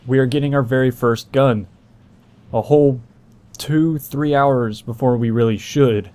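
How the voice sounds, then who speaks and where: A man's voice: medium pitch, steady tone, unhurried, mellow. A man doing casual, explanatory gameplay walkthrough commentary as the host, talking informally to viewers.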